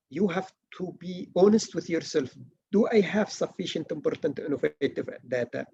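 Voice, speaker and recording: Halting man lecturing, gravelly. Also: fairly high pitch, darkish tone, lo-fi sound. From Malaysia. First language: not English. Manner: earnest, halting, many restarts and fillers. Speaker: workshop lecturer. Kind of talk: academic writing workshop lecture.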